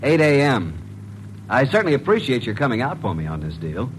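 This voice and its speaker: An actor playing a plain-spoken, wisecracking detective's assistant in direct 1940s radio style. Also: male voice, medium pitch, sing-song, brisk, close to an everyday voice. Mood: wry. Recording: noisy vintage radio recording.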